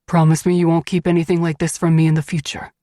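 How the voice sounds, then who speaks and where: A woman's voice: low-pitched, completely flat delivery, quick pace, warm tone, dry recording, studio quality, lightly acted. A woman voicing a brooding, protective, dangerous husband who speaks in a blunt, commanding way in an audiobook. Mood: demanding, tender.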